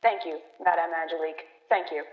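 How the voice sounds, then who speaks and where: A woman's voice: fairly low pitch, steady tone, fairly quick, lightly acted. A woman acting an earnest, eager radio field reporter in a stage play of a news broadcast. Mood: impatient, urgent. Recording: dull, roomy, echoey stage recording.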